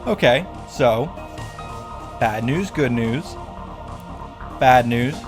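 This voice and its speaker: A man's voice: medium pitch, animated, extremely slow. A man narrating a story recap in a casual, wry way with dramatic emphasis. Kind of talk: casual scripted narration of a visual novel recap. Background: background music.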